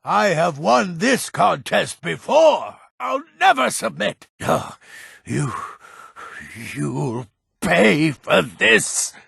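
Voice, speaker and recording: Grumpy old fantasy game man, extremely husky rasp, widely swinging pitch, theatrical, defiant, gruff. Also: sing-song, very slow, fairly bright tone, no room sound, studio quality, exaggerated voice. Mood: defiant, desperate. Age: older. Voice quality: gravelly, raspy. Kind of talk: fantasy video game character acting.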